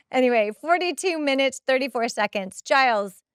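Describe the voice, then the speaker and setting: High, clear-voiced middle-aged woman podcaster. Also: no room sound, clean studio sound. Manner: expressive, exasperated asides and rhetorical questions. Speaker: podcast host. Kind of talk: episode recap reading timestamps and notes, with casual asides.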